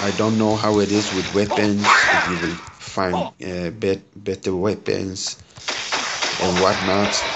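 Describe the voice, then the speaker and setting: A man from Sweden with a Swedish accent, casually commenting on a mobile game he is playing in halting, enthusiastic English full of fillers. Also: low voice. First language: Swedish or Hungarian. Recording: loud background noise.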